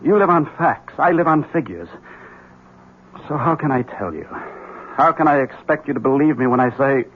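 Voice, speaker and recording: A man acting in 1970s radio drama as a formal, haunted accountant husband, husky-voiced, speaking in a deliberate, halting, pedantic way. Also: mid-range voice, expressive, a little hiss or hum, close to an everyday voice. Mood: haunted, confiding. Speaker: actor. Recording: tinny, narrow vintage radio recording.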